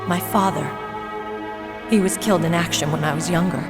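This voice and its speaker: A woman playing a young female soldier in a video game, earnest, measured and determined. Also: lowish voice, steady tone, loud music behind the voice, close to an everyday voice. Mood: reflective, wistful. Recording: long hall reverb.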